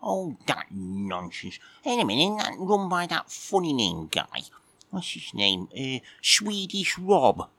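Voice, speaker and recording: A high, cheeky male cat voice, put on by a man that leaps around in pitch and sounds bright and sharp. He rambles on with a smutty, mock-innocent air. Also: big pitch swings, a little slow, full character voice. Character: cheeky crude dim-witted male cat.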